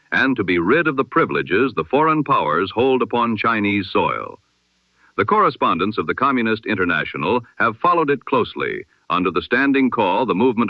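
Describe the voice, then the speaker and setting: A man reading a scripted news bulletin as a 1920s radio announcer, in a solemn, formal, measured oratorical manner. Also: on the low side, no room sound, lo-fi sound.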